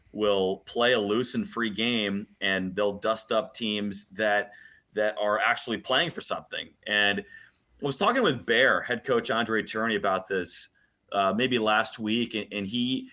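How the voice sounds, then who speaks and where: A man with a fairly low, very bright and forceful voice talks sports as a radio guest. He speaks casually, doubling back on words as he goes and dropping in colorful slang. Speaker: radio play-by-play announcer as guest.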